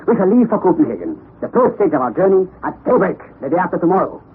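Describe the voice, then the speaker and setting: An actor playing an excitable, eccentric elderly professor in a 1960s radio drama, speaking in a commanding, theatrical way. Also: male voice, sits high, fast talker, breathy edge, exaggerated voice. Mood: reassuring. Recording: lo-fi vintage radio broadcast with hiss.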